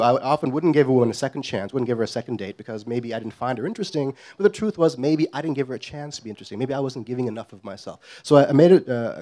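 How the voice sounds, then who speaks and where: Middle-aged man from Guyana, wry live storyteller, mid-pitched and self-mocking. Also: warm tone.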